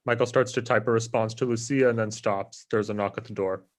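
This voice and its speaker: Stage-direction narrator for a table read: male, lower-pitched, scripted delivery. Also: steady tone, brisk.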